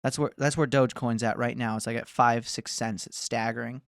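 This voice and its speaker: A man's voice: medium pitch, fairly even intonation, fairly quick, gravelly, dry recording, studio quality. A man in his twenties ranting casually to camera, swearing often and wandering between mocking asides. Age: 20s. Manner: profane, rambling, swears often. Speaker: vlogger.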